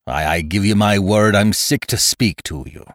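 A man's voice: lowish voice, normal speed, a bit bright, dry recording, studio quality, close to an everyday voice. A man voicing a sly, commanding pirate sea cook in a storybook reading, with rustic sailor speech, contemptuous and menacing. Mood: scornful, bitter. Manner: rustic old-time sailor speech with theatrical emphasis. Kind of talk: dramatised audiobook reading of a classic adventure story.

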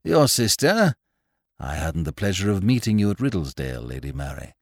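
A man's voice: sits low, big pitch swings, moderate pace, no room sound, clean studio sound, pitched above the performer's own voice, full character voice. A man voicing an effusive, jovial English barrister in an audiobook, urbane and talkative, with an upper-class English accent. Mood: gracious, eager. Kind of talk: audiobook character voice.

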